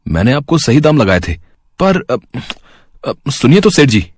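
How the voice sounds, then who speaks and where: Guy playing meek indian husband, husky voice, really animated, anxious n pleading, story audio. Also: medium pitch, a little slow, dry recording, voice pushed up. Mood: worried, pleading. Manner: pleading, dramatic, placating.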